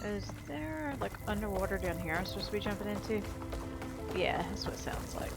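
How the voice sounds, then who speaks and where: A woman gives loose, playful commentary while she plays through a game, dropping wry asides as she goes. Her voice has a middling pitch, and game music runs steadily underneath. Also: animated, brisk. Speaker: gaming channel host. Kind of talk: casual let's-play commentary. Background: game music and sounds.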